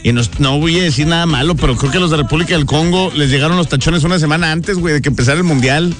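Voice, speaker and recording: A man's voice: on the high side, fast. A man with a Monterrey accent hosting a radio show, teasing callers in slangy banter. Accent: northern Mexican, Monterrey. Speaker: radio host. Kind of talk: casual morning-radio banter. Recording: music bed playing behind.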